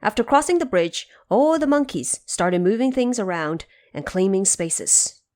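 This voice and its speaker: Expressive woman narrating a story. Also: mid-range voice, a little slow. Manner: expressive, theatrical. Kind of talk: scripted dramatic storybook narration.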